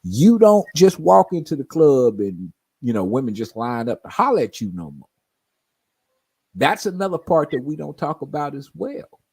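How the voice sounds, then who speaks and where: A man's voice: a little high, big pitch swings, normal speed, a little mellow, husky. A man in his forties telling stories in casual chat, often asking "you know what I mean?". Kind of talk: casual chat on a live stream.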